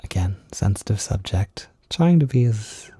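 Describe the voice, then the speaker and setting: Low, very mellow male voice, gently reassuring. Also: lively intonation, slightly rough, no room sound. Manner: hesitant, halting, self-deprecating. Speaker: audio creator giving comfort and validation. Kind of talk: intimate, informal comfort monologue.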